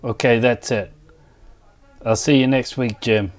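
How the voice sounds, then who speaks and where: Man playing a gruff, grumbling older mine technician. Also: mid-range voice, fairly even intonation, measured pace, close to an everyday voice. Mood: businesslike. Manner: gruff, curt, resentful. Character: grumpy older male mine technician, set in his ways. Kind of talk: dramatized dialogue.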